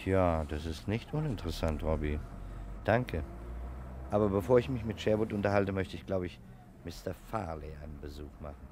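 An actor in a 1960s German radio play playing a calm, courteous male fire investigator who asks shrewd, measured questions. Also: low-pitched, lightly acted. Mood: thoughtful, shrewd. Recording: vintage radio recording with background noise.

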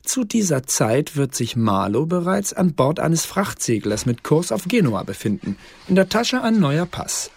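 A man's voice: fairly high pitch, very animated, a little slow, creaky, hardly any pauses, breathy edge, full character voice. An actor playing an officious male secret-service bureaucrat, dictating reports formally and precisely in a radio drama. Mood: brisk, conspiratorial.

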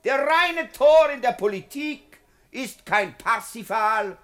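An actor playing a scornful, sardonic politician declaiming in parliament in a 1950s German-language radio play, rhetorical and mocking. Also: male voice, very high voice, expressive, slow, fairly bright tone, exaggerated voice. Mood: sardonic, lecturing. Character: scornful, sardonic parliamentary orator attacking a minister.

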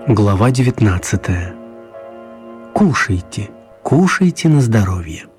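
A man narrating a children's radio play as a scripted storyteller, with measured, wry phrasing. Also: on the low side, very animated, darkish tone, faint music behind.

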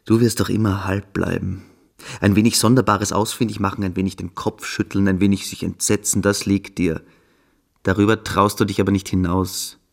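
A man's voice: sits low, flat delivery, normal speed, creaky. An actor playing a scornful, dogmatic older schoolboy who lectures his friend condescendingly in a German literary radio drama. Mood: smug, condescending.

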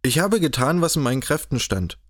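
A man's voice: lowish voice, normal speed, no room sound, studio quality, close to an everyday voice. A man voicing an earnest, defiant middle-aged professor in an audiobook, speaking hastily. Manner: hasty and defiant.

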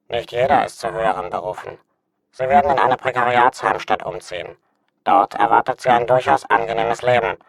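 An actor playing a bland, officious government official in a radio play, formal and smoothly patronising. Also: male voice, mid-range voice, a bit bright. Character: bland, officious male licensing-office official delivering notices.

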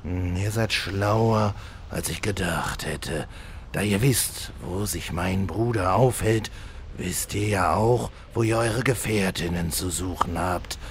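A man's voice: deep voice, slow pace, a little hiss or hum. An actor playing a menacing, vengeful male demon hunter in a parody fantasy audio drama, solemn and disdainful. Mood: cool, scheming. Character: menacing male demon hunter seeking revenge on his brother.

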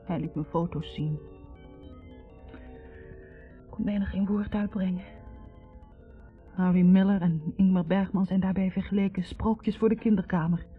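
An actress playing a wounded young woman in a 1960s radio drama, bitterly and haltingly confessing a painful past. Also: mid-range voice, fairly even intonation, soft background music, lightly acted. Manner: hesitant, halting, introspective. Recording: dull vintage radio recording.

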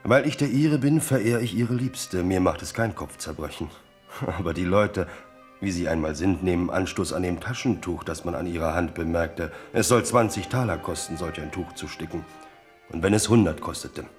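Man playing a low-voiced, blunt soldier courtier. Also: normal speed, faint music behind, close to an everyday voice. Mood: deferential, wry. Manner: frank, sly, feigns indifference. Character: blunt old-soldier courtier, loyal yet needling the king. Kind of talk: German radio drama acting.

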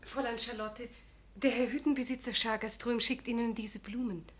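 A woman's voice: fairly high pitch, fairly even intonation, normal speed, noisy background, close to an everyday voice. A woman playing a young housemaid in a radio drama, deferential but insistent. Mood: dutiful. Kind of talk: German radio drama acting.

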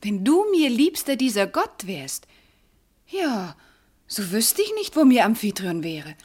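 An actress playing a devout, loving and bewildered wife, speaking classical verse fervently and hesitantly in a radio drama. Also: female voice, fairly high pitch, sing-song, a little slow, a little mellow, husky, full character voice.

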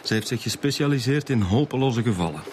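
An actor playing a matter-of-fact, wryly teasing police detective with a Flemish accent in a radio drama. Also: male voice, medium pitch, expressive, fast, a little hiss or hum, close to an everyday voice. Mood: informative.

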